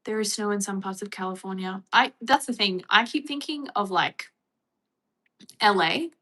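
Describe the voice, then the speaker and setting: Australian woman, casual streamer, bright forward voice, chatty with viewers. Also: mid-range voice, moderate pace. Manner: chatty, reads and answers viewer comments. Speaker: livestream host. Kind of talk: casual livestream chat.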